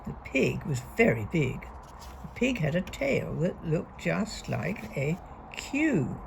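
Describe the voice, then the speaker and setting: A woman reading a picture book aloud to young children, deliberately and expressively, leaning on key words. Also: fairly low pitch, sing-song, vocal fry, a little hiss or hum, rough recording. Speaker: storybook reader.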